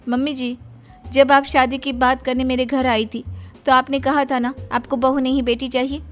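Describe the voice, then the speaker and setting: A woman's voice: sits high, flat delivery, normal speed, lightly acted. A woman voicing a stubborn, cheeky young bride who talks back in a cartoon family drama. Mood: defiant. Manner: pert, mock-sweet back-talk. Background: background music.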